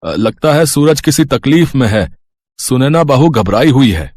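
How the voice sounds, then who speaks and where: A man acts an older village landlord in a moral cartoon. His medium-pitched voice is recorded dry and sounds fatherly, concerned and a little hesitant. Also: sing-song, a little slow, darkish tone, no echo. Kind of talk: Hindi moral cartoon dubbing.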